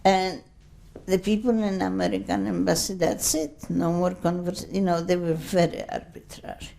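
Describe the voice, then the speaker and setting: A woman in her seventies giving an oral history testimony, speaking English as a second language in a slow, rambling, anecdotal way full of asides. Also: fairly low pitch, level tone, vocal fry, warm tone. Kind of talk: unscripted recollection.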